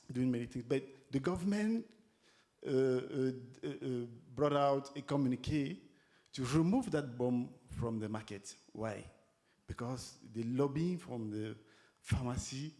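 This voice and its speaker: A man with a Cameroonian accent speaking off the cuff at a conference discussion, haltingly, repeating words as he searches for phrasing. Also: medium pitch, dark tone. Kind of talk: impromptu speech at a conference discussion.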